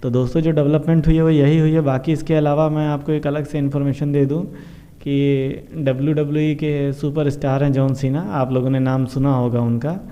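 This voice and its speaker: A man's voice: fairly high pitch, level tone, brisk, mellow. An Indian man hosting an informal news explainer, talking to viewers in a repetitive, explanatory way and mixing in English terms. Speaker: host of a current affairs explainer show. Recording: dull, muffled lo-fi sound.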